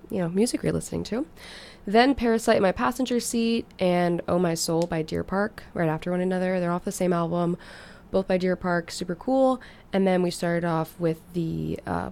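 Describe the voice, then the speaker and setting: A woman hosting a music show, talking between songs in a laid-back, chatty way with fillers and trailing asides, and speaking quietly. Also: fairly low pitch, vocal fry. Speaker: radio DJ. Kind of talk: casual music show chat.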